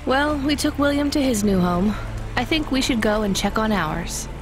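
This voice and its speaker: A woman's voice: on the high side, lively intonation, normal speed, over music, close to an everyday voice. Actress as dry, weary female delivery driver. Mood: dry, resigned. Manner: dry, understated. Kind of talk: video game voice acting.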